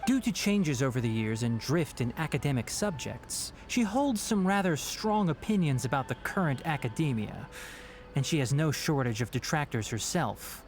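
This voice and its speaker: A man playing an earnest, thoughtful scholar in a video game, explaining things in a measured, respectful way. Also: medium pitch, animated, soft background music, lightly acted. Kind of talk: video game voice acting, storytelling.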